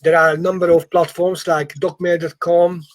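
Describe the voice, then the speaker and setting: A man's voice: fairly high pitch, level tone, moderate pace. A man who speaks English as a second language, coaching informally in an emphatic, encouraging way and often repeating phrases. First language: not English. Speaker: property investment coach. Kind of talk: informal live training session.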